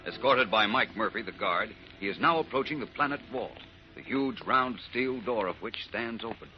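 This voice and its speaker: A man's voice: medium pitch, animated, moderate pace, slight background noise. A man narrating a 1940s radio serial as announcer in a dramatic, scripted style. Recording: muffled old radio recording.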